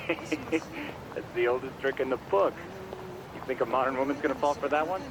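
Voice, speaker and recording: An actor playing a geeky, eager, chuckly computer-search expert in a video game, with quirky delivery. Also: male voice, mid-range voice, moderate pace, noisy background, close to an everyday voice. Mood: amused, curious. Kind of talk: video game cutscene dialogue.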